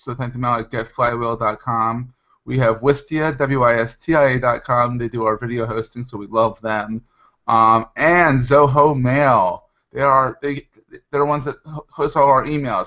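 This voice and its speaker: Bright, forceful male host, lo-fi sound. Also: medium pitch. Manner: informal, chatty, self-deprecating. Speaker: show host, interviewer. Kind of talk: casual hosting with ad-libbed sponsor reads.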